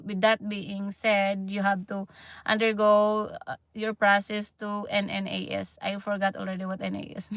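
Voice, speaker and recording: A woman's voice: mid-range voice, fairly bright tone, smooth, no room sound. A woman in her thirties from the Philippines with a Filipino accent, chatting on a livestream with many fillers and restarts. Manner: halting, many fillers and restarts, laughs often. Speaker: livestream vlogger. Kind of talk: casual livestream chat.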